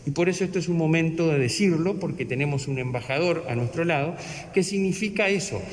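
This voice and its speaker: A man's voice: fairly high pitch, fairly quick. A man, an education official, gives a formal address in long, ornate sentences. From Uruguay. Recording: echoey hall, faint noise.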